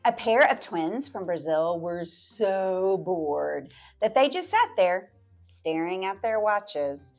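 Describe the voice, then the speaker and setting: A woman's voice: lowish voice, very animated, slow pace, darkish tone, faint music behind. A woman library storyteller reading a picture book aloud to children, stretching words out and dropping into playful whispered asides.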